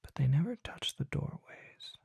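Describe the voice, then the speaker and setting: A performer voicing a mysterious, courtly vampire apothecary in a breathy, formal ASMR whisper, close to the microphone. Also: no echo, close to an everyday voice. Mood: hushed, reverent. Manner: slow, formal, deliberate, hushed.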